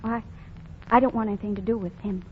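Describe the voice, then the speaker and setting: A woman's voice: medium pitch, fairly even intonation, quick pace, slight background noise, close to an everyday voice. An actress playing a plucky, wry young shopgirl, plain-spoken, in a 1940s radio comedy. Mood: meek. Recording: dull lo-fi 1940 radio recording.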